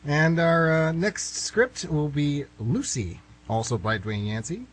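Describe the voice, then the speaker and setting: A man with a husky voice casually and haltingly announcing an amateur radio theatre show. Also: fairly high pitch, lively intonation, a little hiss or hum.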